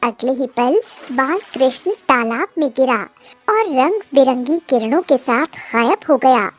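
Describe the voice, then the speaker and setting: A woman's voice: sits high, expressive, measured pace, soft background music. A woman narrating a scripted children's cartoon story.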